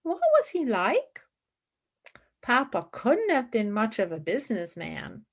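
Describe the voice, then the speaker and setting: A woman's voice: high-pitched, heavily acted. A woman playing a blunt ten-year-old girl who persistently questions her mother in a radio play. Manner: childlike, probing.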